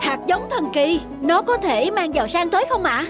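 A woman voicing a naive, lazy young peasant son in an animated folk tale, with a whining, exclamatory delivery. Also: very high voice, normal speed. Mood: eager, amazed. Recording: background music under the voice.

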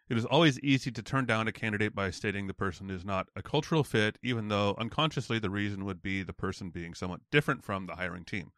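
An American man with a lowish voice hosts a podcast, asking curious follow-up questions. His sound is dry and close to the mic, with no room echo. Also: fairly bright tone. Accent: General American. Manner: curious, asks follow-up questions, reads listener comments aloud. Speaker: podcast host. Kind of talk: casual interview chat.

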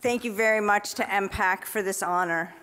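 A middle-aged woman giving a prepared acceptance speech at a podium in a large banquet hall, slow and deliberate. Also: mid-range voice, noisy background. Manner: slow, deliberate, earnest delivery. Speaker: award recipient giving a speech. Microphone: podium microphone. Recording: long reverb of a large hall.

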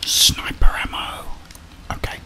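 A man's voice: slow pace, sharp tone. A middle-aged British man whispering ASMR game commentary in short murmured reactions, close to the microphone. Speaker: gaming streamer.

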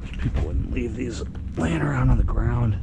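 A man's voice: mid-range voice, animated, normal speed. A man vlogging in a casual, unscripted way, with a rambling, hesitant, matter-of-fact delivery. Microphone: action camera worn or handheld. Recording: noisy action camera, traffic and ambient noise.

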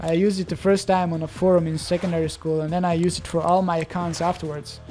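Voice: mid-range voice, flat delivery, fast talker, music in the background. A young adult from Belgium, a native Dutch speaker with a Belgian Dutch accent, chatting casually in hesitant, restart-filled commentary while opening card packs.

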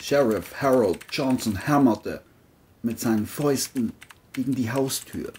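A woman's voice: very deep voice, animated, unhurried, a little mellow, a little hiss or hum. A woman narrating a scripted crime story.

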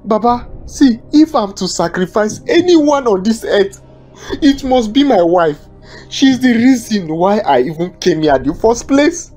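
A man's voice: on the high side, expressive, normal speed. Give the voice of a man acting a poor, desperate husband with a Nigerian accent. He stammers and repeats himself in melodramatic film style. Music plays behind him. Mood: bitter, accusing. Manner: stammering, agitated, repeats words. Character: desperate poor husband entangled in a money ritual.

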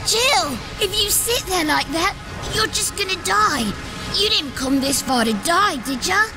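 A woman voicing a tiny, cheeky, excitable elf sidekick in a comic fan dub, flustered and swooping. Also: extremely high pitch, a little slow, noisy background. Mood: cheeky, scolding.

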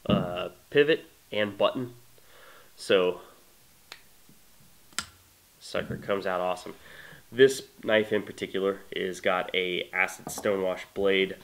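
A man's voice: low-pitched, poor audio. A man in his thirties with a congested voice from a cold, casually and enthusiastically talking to camera about a knife he made, filled with "uh" and "you know", in a small indoor room. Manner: relaxed, enthusiastic, many fillers. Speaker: knife maker presenting his knife. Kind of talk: casual product talk to camera.

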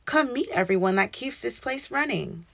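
A woman plays a friendly sun in a storybook. It talks warmly and welcomingly, very high and lilting. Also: lively intonation, normal speed, vocal fry, exaggerated voice. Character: warm, friendly, sleepy cartoon sun.

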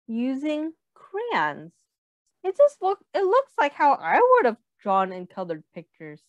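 Young female teacher, high-pitched and very slow, children's read-aloud with explanations and questions to the listener. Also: dry recording. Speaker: teacher reading a picture book aloud.

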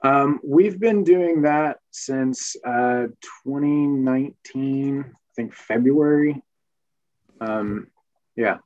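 A man's voice: medium pitch, drawn-out words, a little mellow, no room sound. A man on a panel explaining his agency's review process, hesitant with many fillers, over a video call. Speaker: regulator panelist. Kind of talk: panel discussion. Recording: dull dry video-call audio.

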